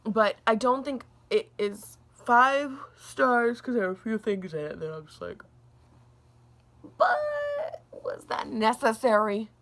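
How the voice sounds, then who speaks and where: A young woman reviewing a book in a casual, rambling vlog, her throat a little scratchy and gravelly, with lots of fillers and restarts. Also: fairly high pitch, big pitch swings, slow, a bit bright. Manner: rambling, says like a lot, restarts often.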